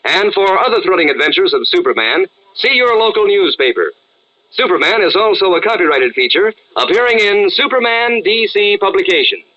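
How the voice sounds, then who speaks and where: A man's voice: high-pitched, expressive, fairly quick, bright, forceful tone. An American man announcing in 1940s radio advertising style, with punchy, emphatic sales delivery. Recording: dull, band-limited old radio recording.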